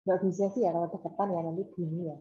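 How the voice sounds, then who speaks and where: Dosen perempuan bersuara lembut, audio teredam. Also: female voice, fairly low pitch, level tone, rapid-fire, warm tone, a little hiss or hum. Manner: informal, explains by examples and repetition. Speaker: university lecturer. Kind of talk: online lecture, explaining and asking students. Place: on campus, online class. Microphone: laptop video call. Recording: dull, lo-fi video call audio.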